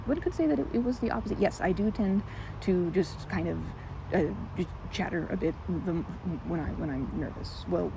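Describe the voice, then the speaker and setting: A woman's voice: low voice, gravelly, noisy background. A woman playing a timid, nervous young woman in an ASMR roleplay, stammering, repeating words and rambling in quirky, formal phrasing. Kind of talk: ASMR roleplay, one-sided audio drama.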